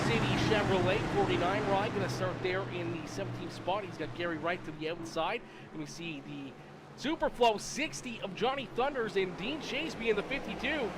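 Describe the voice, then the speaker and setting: A man with a nasal, sick-sounding voice doing energetic play-by-play commentary and hosting a racing livestream. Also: sits high, very noisy. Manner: energetic hype announcer, rattling off names. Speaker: race commentator and stream host.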